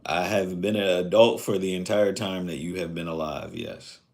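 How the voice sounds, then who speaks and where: A man's voice: deep voice, normal speed, a little mellow. A man in his thirties chatting casually on a live stream, rambling and reflective, with lots of fillers. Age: 30s.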